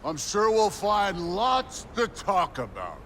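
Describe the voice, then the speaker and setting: An actor playing a burly Norse thunder god in a video game, mock-polite and menacing, with a deliberate, drily needling delivery. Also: male voice, high voice, wildly swooping pitch, fairly bright tone, heavily acted. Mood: mock-polite menace. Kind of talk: cinematic video game acting. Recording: faint background noise.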